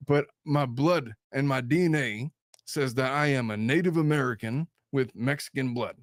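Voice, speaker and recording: A man's voice: mid-range voice, normal speed, no room sound, studio quality. A middle-aged man hosting a casual livestream podcast, blunt and profane, reading chat comments aloud. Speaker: podcast host.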